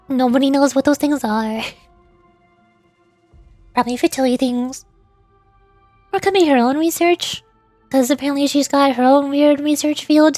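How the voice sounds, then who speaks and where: Female VTuber, high voice, loose rambling chat with viewers, dry sound over faint music. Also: dry recording. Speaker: VTuber game streamer. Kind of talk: casual livestream commentary.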